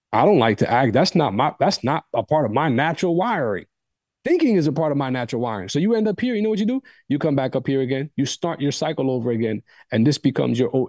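A man's voice: on the high side, darkish tone, no echo, clean studio sound. A man whose first language is Arabic, giving an emphatic motivational talk as a podcast guest, repeating phrases for stress.

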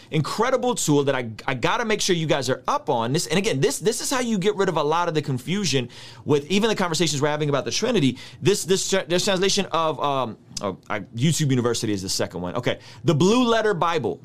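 Energetic guy in his 30s, russian is his first language, teaching bible stuff casual and slangy, bouncy intonation. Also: fairly high pitch. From United States.

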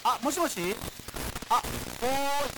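A man's voice: very high-pitched, fairly even intonation, slow, background noise. A man playing a geeky, rambling radio host facing doomsday in a radio drama, talking hesitantly and digressively. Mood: anxious, calling out. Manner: hesitant, digressive, self-interrupting. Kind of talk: radio drama monologue, conversational.

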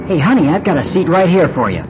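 An actor playing a cocky, flirtatious race driver in a radio drama, with a breezy, teasing manner. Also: male voice, fairly high pitch, lively intonation, fast talker, close to an everyday voice. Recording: dull, faintly noisy old radio recording.

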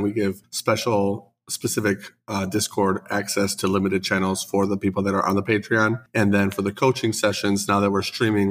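A man's voice: on the low side, fairly bright tone. Congested man chatting on a podcast. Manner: chatty, enthusiastic, self-deprecating jokes. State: congested from the flu. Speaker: podcast co-host and store owner. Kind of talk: casual podcast chat.

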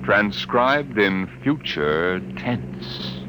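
A man announcing a 1950s radio science fiction show in a dramatic, measured way. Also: lowish voice, lively intonation, background noise. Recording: old radio broadcast with music.

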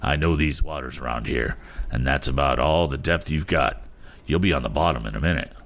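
A man voicing a seasoned sea captain, hearty and politely measured, in a storybook reading. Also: very deep voice, a bit bright. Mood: hasty, enthusiastic.

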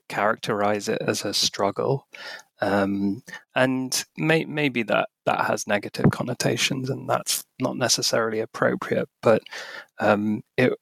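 A man's voice: on the low side, creaky, fairly bright tone, no echo. A man in his forties with a scratchy voice from illness, speaking hesitantly as a guest in a podcast interview. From United Kingdom. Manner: hesitant, many ums, thoughtful. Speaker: author and academic guest.

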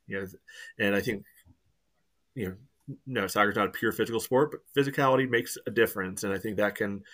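Rambling low-voiced man, full of "you know". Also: brisk, fairly bright tone. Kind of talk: casual soccer chat.

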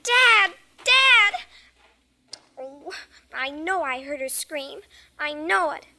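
A woman's voice: high voice, a little slow, sharp tone, a little hiss or hum, heavily acted. An actress playing a stubborn, anxious young girl in an old radio drama, pleading and insistent, breaking into sobs. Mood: frantic, tearful. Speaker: daughter. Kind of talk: 1940s radio drama acting.

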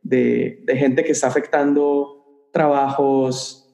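A youth pastor from Colombia answering interview questions in an earnest, animated way. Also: male voice, medium pitch, slow pace, gravelly. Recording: roomy echo.

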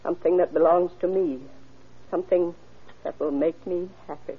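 A woman's voice: fairly low pitch, fairly even intonation, moderate pace, slightly rough. A brooding mother, tinny old radio. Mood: eerily calm. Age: middle-aged or older. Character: brooding, status-obsessed mother of grown sons, quietly unhinged. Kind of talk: old-time radio drama acting.

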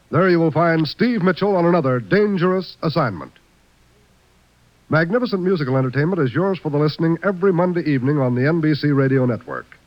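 Fairly high male voice, declamatory network radio announcing, scripted, dry muffled vintage sound. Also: dry recording. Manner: formal, declamatory.

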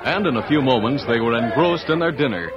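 A man's voice: mid-range voice, lively intonation, quick pace, a loud crowd, lightly acted. A man narrating an old-time radio western in a formal, dramatic, scripted style. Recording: dull old radio recording, music behind.

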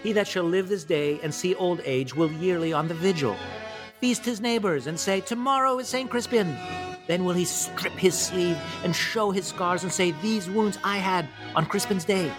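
A man performing a stirring medieval king rallying his outnumbered soldiers in a declamatory, amateur theatrical soliloquy with weighty pauses. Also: high-pitched, music in the background, voice pushed up, close to an everyday voice. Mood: stirring, fervent.

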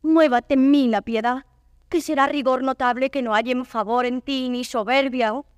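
Actress as a sorrowful noble avenger, fairly high voice. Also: female voice, steady tone, dry recording, studio quality, natural voice. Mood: humble pleading. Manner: measured, solemn verse declamation. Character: noble wandering avenger, sorrowful, courteous and proud. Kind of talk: classical Spanish verse stage acting.

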